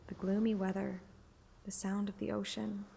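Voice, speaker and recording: A woman's voice: mid-range voice, flat delivery, moderate pace, creaky, dark tone, slight background noise. A woman narrating a story like an audiobook, with casual streamer asides. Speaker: streamer reading a game story aloud.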